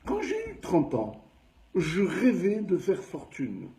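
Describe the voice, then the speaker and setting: A man in his sixties with a Tunisian French accent, telling his life story slowly, stressing each step. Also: sits high. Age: 60s. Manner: slow, measured recounting with lively emphasis. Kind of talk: personal storytelling monologue.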